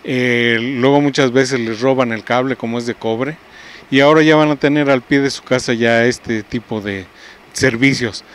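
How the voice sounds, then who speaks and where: A middle-aged man with a Mexican accent giving a formal, halting statement as a municipal official. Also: mid-range voice, fairly even intonation. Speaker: municipal public lighting official. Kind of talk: interview statement. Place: outdoors by a roadside. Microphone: handheld reporter microphone. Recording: noisy outdoor field interview.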